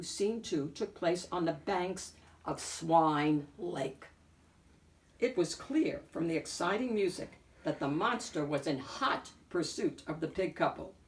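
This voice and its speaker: A woman reading a picture book aloud as an expressive narrator, phrasing deliberately with emphatic swells. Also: fairly low pitch, far from the mic. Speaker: picture book reader and host.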